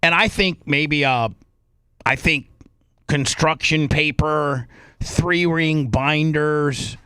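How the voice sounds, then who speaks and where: An American man with a slightly high voice hosts talk radio in a close, dry sound. He rambles through an off-the-cuff sponsor pitch, repeating himself and punching out lists. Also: slow, bright, forceful tone, clean tone, no room sound. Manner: rambling, repetitive, emphatic listing. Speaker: radio show host.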